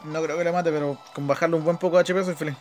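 A man's voice: fairly high pitch, steady tone, rapid-fire. A man with a Chilean Spanish accent gives casual, slangy live gameplay commentary, muttering to himself as he plays. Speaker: gamer, video creator. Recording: game music behind voice. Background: mobile game battle music.

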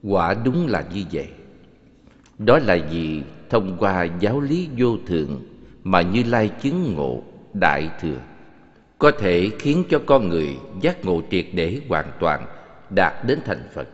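A man voicing a serene, authoritative Buddha in an animated scripture film, teaching in a measured, solemn delivery. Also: deep voice, heavily acted. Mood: solemn assurance. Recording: muffled, long cavernous reverb.

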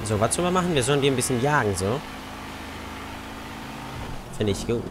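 Austrian man, young, casual let's play chatter, lively pitch, game car noise behind. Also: brisk. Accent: Austrian German. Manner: chatty, cursing at other drivers. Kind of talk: casual gameplay commentary.

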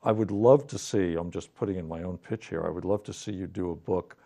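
A man in his sixties with a creaky, gravelly voice and a dark, mellow tone. He asks literary interview questions thoughtfully and a little hesitantly in a studio. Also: deep voice, a little fast. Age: 60s. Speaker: interviewer, writer and musician. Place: quiet TV studio set with bookshelves. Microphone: lapel mic.

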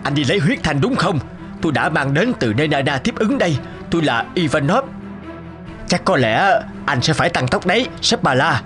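An actor voicing a worried, cautious courier in a cartoon, alarmed and exclamatory. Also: male voice, on the high side, sing-song, normal speed, over music. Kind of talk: animated cartoon dubbing.

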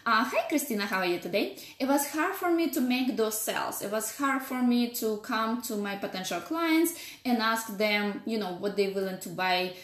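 A woman's voice: fairly high pitch, lively intonation, moderate pace, fairly bright tone. A woman in her thirties with a strong Russian accent, a business coach talking casually on a live stream, greeting viewers and using many fillers. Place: home office, indoors. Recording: echoey room with faint noise.

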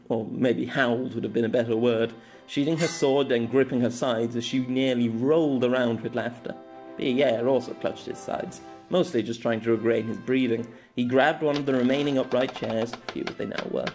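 A male narrator reads a fantasy story aloud in a mid-pitched voice. The delivery is scripted storytelling, with music playing behind it. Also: steady tone, moderate pace, darkish tone.

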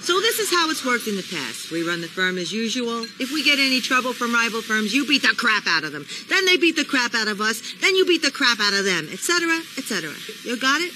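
A brash older woman running a cab firm in a video game. She is blunt and sardonic, with very lively, swooping pitch. There is clear noise behind her. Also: fast, hardly any pauses. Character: brash, cynical older female taxi firm dispatcher. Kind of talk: video game cutscene acting.

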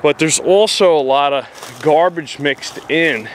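A man's voice: fairly high pitch, unhurried, a little hiss or hum. A man with a New Jersey accent casually describing what he finds while walking through the woods, talking into a handheld camera with plain, filler-dotted narration. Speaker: outdoor explorer vlogger. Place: woods outdoors.

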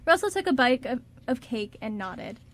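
A woman's voice: high voice, big pitch swings, moderate pace, a bit bright. A woman reading scripted narration for a radio drama as its narrator.

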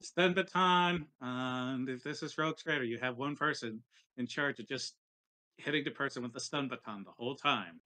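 A man's voice: medium pitch, moderate pace, sharp tone. A man chatting casually and jokingly as a player in a tabletop roleplaying game.

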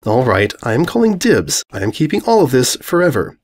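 A man's voice: mid-range voice, very animated, brisk, lightly acted. A man voicing a huge, regal elder pony mare with a composed, polite manner in a fantasy story. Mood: delighted.

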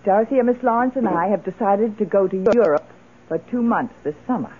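An actress playing a well-to-do mistress of the house in a radio drama, brisk and commanding. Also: female voice, medium pitch, close to an everyday voice. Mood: brisk, dismissive. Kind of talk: old radio drama acting. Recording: tinny, hissy old radio recording.

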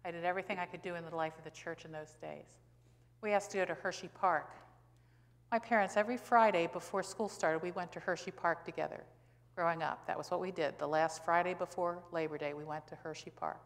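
An American woman in her sixties, a pastor giving a sermon in a conversational, emphatic way with rhetorical questions and pauses for stress. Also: lowish voice, steady tone. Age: 60s. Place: church sanctuary, indoors at a pulpit. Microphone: pulpit gooseneck microphone. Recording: reverberant church hall.

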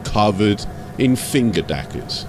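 A man's voice: fairly low pitch, big pitch swings, slow, background noise. A man giving a scripted, dramatic narration as an audiobook storyteller.

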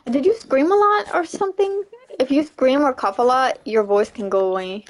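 Young female streamer, slightly high voice, casual, chatty, quick to laugh. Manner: chatty, laughs often, repeats "you know what I'm saying". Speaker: livestreamer. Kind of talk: casual chat while gaming, reading chat.

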